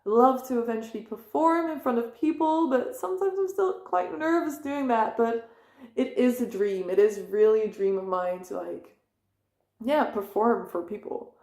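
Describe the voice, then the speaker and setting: A young woman chats to camera in a loose, meandering way, correcting herself and filling gaps with "um" and "like." Her voice sits fairly high for a woman, and the room around her rings with noticeable echo. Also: animated, normal speed, mellow. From Netherlands. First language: Dutch. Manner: rambling, self-correcting, many fillers. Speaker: vlogger. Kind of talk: casual personal talk to camera.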